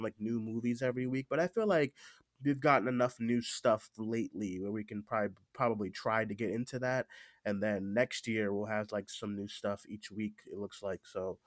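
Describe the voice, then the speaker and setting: A man's voice: mid-range voice, no echo, studio quality. Stuffy-nosed man hosting a podcast. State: stuffy with a cold. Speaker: podcast host. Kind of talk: casual podcast chat.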